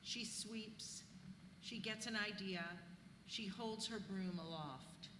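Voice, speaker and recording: A woman's voice: mid-range voice, slow pace, sharp tone. A woman reading stage directions as scripted narration at a live play reading. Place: live reading in a church venue. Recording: echoey hall, clear background noise.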